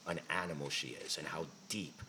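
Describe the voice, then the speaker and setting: A man's voice: very deep voice, brisk, background noise. A man speaking as a guest at a live podcast interview, effusive and full of stumbling restarts. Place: live event venue with audience.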